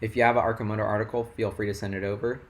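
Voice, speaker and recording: Excitable young man, voice going hoarse. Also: lowish voice, rapid-fire. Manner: rambling and excitable, heavy "like" filler. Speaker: investing livestream host. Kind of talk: casual livestream chat.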